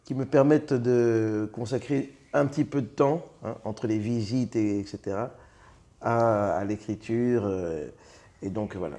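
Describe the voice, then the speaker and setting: A Belgian man in his thirties, a comedian guest in a casual interview, speaking with many pauses and fillers and a self-mocking humor. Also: lowish voice, dark tone. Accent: Belgian French.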